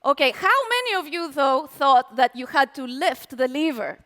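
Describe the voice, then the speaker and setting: A woman with a very high-pitched voice giving a lively, playful tech talk at a live conference, asking the audience questions. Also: moderate pace, in a large hall. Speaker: conference speaker.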